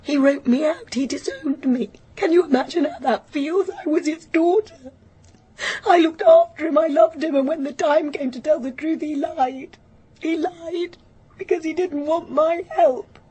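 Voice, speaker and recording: A woman playing a guilt-ridden, stubborn grown daughter in a radio drama, speaking in a halting, anguished, bitter confession that often drops to a murmur. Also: high voice, warm tone.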